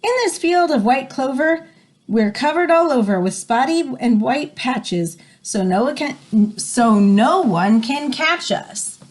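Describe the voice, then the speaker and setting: A woman voicing small, gentle spotted baby fawns in sing-song rhyming storybook verse. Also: high voice, animated, slow pace. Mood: playful.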